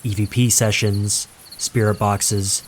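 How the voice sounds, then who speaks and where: A man reading a scripted horror story as narrator, dramatic and suspenseful, with deliberate pauses. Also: lowish voice, flat delivery, slight background noise.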